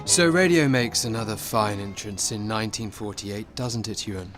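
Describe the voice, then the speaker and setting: A man's voice: on the low side, moderate pace, music in the background, lightly acted. An actor playing a forthright, teasing theatre man who prompts and narrates in a radio drama. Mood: arch, prompting.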